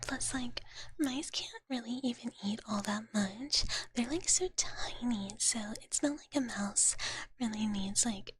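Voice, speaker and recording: A woman whispering in a breathy, soft voice close to the microphone, chatting playfully and cutely with viewers on a live ASMR stream. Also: on the high side, measured pace, slight background noise. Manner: playful, cutesy big-sister persona speaking of herself in third person.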